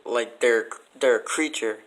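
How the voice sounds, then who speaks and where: A man's voice: medium pitch, unhurried, fairly bright tone, low-quality recording. A man chatting casually as an interview guest, with a blunt, skeptical way of talking. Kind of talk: casual chat.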